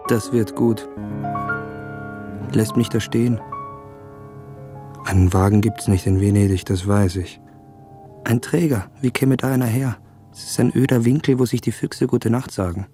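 An actor playing an embarrassed, anxious young gentleman traveller in a radio drama, with an Austrian accent and a polite, hesitant manner. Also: fairly low pitch, measured pace, pauses often, close to an everyday voice. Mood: flustered. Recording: music behind the voice.

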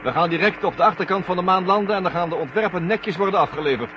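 An actor playing a smug, scornful traitor astronaut who talks in a mocking, condescending way, in a 1970 Dutch radio drama. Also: male voice, high-pitched, fast, noisy background, close to an everyday voice. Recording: muffled, humming 1970 radio recording.

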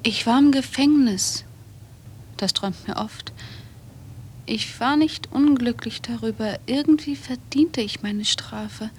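A troubled, defiant woman in a radio drama. Her voice sits a little high and moves slowly, with a brooding, intense delivery. The old cassette recording adds faint noise. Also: a little mellow. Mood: subdued, confessional. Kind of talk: German radio drama acting.

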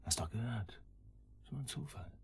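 Gravel-husky, brooding older henchman. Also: mid-range voice, expressive, normal speed. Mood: anxious reasoning. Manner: hesitant, brooding, reasoning. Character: older, brooding, cautious male henchman with doubts. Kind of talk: radio drama acting.

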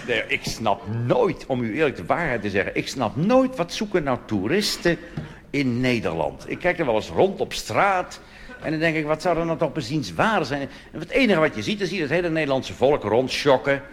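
A man's voice: medium pitch, big pitch swings, normal speed. A man from the Netherlands performing a wry, mocking cabaret monologue on stage, now and then slipping into quoted voices. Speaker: cabaret comedian. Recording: live stage recording with audience and hiss. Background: audience laughter.

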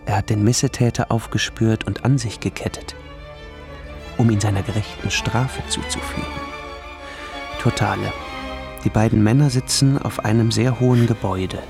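A man's voice: lowish voice, steady tone, moderate pace, vocal fry, over music. An actor playing a brooding murderer who solemnly narrates his own fate like a film script in a radio drama. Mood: solemn, ominous.

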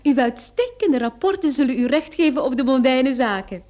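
A woman's voice: high voice, animated, moderate pace, a little mellow. A woman acting a daring, teasing, self-assured private detective in a Dutch-language radio play, with a playful and pointed delivery. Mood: teasing, confident.

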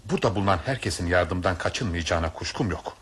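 A man's voice: low voice, fast, a bit bright, husky. A man playing a well-meaning but doubtful, anxious train passenger in a Turkish radio play, speaking emphatically and repeating phrases. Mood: anxious pleading. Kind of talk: Turkish radio theatre acting. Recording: noisy old radio drama recording.

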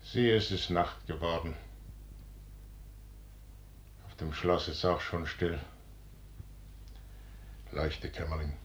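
An actor playing a proud, weary commander prince with a declamatory, commanding delivery in a classical radio drama. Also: male voice, sits low, steady tone, unhurried, noisy background. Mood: grave, resigned. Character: proud, weary aging male commander and prince, superstitious yet defiant. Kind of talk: classical German stage drama, radio play acting.

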